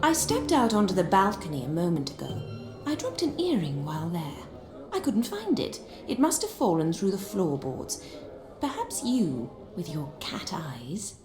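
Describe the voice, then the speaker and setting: Aging aristocratic widow for a fantasy game: genteel, wistful, lowish register, hesitant formality; roomy recording over music. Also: female voice, wildly swooping pitch, normal speed. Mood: coy, gracious. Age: older. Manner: refined, formal, theatrical hesitation. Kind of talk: video game dialogue acting. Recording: roomy echo, music underneath.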